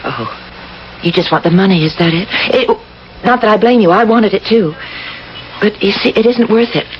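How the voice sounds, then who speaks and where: A woman's voice: mid-range voice, lively intonation, moderate pace, natural voice. An actress playing a timid, honest female social worker in a radio mystery drama, hesitant, apologetic and earnest. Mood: worried. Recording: dull, noisy old radio broadcast.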